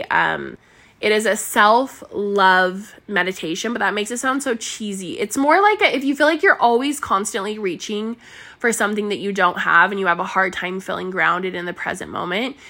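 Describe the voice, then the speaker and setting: A woman's voice: medium pitch, sharp tone. A woman hosting a solo podcast, chatting in a bubbly, rambling way with a stuffy nose. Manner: bubbly, chatty, lots of filler words and laughs. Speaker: podcast host and mindset coach.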